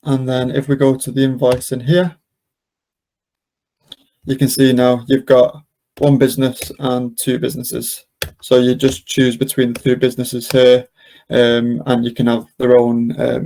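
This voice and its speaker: A man presenting a live software demo and question session, explaining step by step in an informal, hesitant way. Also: mid-range voice, slight background noise. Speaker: webinar presenter and software demonstrator.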